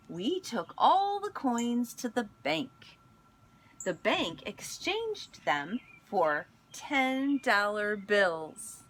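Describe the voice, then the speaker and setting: A woman reading a picture book aloud in an expressive storytelling manner, pausing to speak to young listeners. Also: mid-range voice, a little hiss or hum. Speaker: school instructional coach reading aloud.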